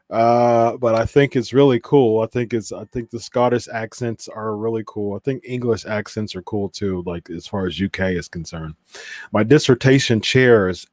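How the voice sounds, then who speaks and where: A man's voice: on the low side, no room sound, clean studio sound. A man hosting a live watch-party stream, casually chatting and reading viewer comments aloud with lots of fillers. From Ohio, USA.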